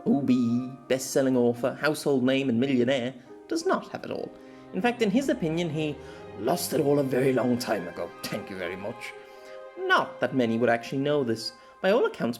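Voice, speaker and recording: A man narrating a story as an amateur audiobook reader, theatrical, wry and expressive. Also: mid-range voice.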